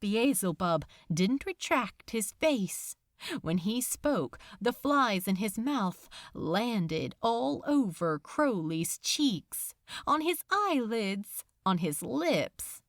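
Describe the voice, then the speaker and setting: A woman reading fiction aloud as a dramatic, expressive narrator, deliberate and suspenseful. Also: mid-range voice, no echo, clean studio sound.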